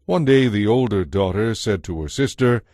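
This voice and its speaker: A man's voice: on the low side, lively intonation, normal speed, darkish tone, no echo, clean studio sound. A man giving scripted dramatic narration of a Bible story as the narrator.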